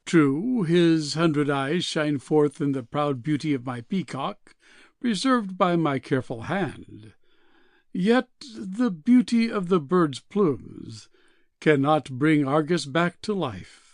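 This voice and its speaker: A man plays an aggrieved, proud queen of the gods. The delivery is gravelly and very slow, slightly high, with bitter stately declamation for an audio play. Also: animated, dry recording, studio quality. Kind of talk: amateur audio play reading.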